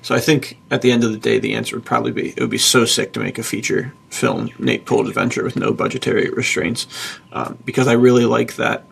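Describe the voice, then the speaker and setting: A man co-hosting a podcast, chatting casually and enthusiastically in slangy language with a husky, gravelly voice. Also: medium pitch, fairly even intonation, fast talker, fairly bright tone. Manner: enthusiastic, slangy, says dude. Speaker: podcast co-host and publisher.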